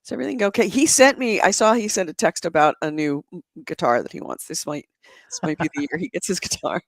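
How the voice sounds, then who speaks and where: Hoarse, gravelly-voiced woman podcaster. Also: on the low side, quick pace, no room sound. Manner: chatty, laughs often, animated storytelling. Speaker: podcast host. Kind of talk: casual chat. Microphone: adjustable microphone close to the mouth.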